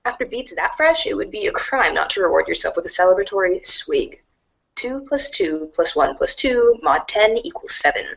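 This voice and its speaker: A woman reading narration aloud in an animated storybook style for a reader's theater. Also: medium pitch, normal speed, gravelly, low-quality recording.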